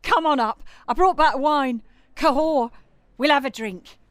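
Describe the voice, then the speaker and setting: A British actress playing a tough, defiant Parisian street singer in an audio drama, earthy and blunt in manner. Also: female voice, high-pitched, a little slow, no echo, clean studio sound. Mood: breezy.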